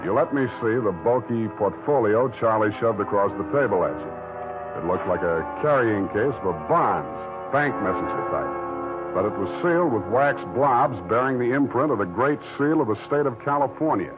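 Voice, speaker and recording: An actor playing a cocky, unflappable private detective with an American accent, narrating in sardonic deadpan in 1940s radio style. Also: male voice, lowish voice, moderate pace, lightly acted. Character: wry, hard-boiled male private detective, unflappable and cocky. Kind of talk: 1940s radio drama acting and first-person narration. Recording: tinny 1940s radio recording with music.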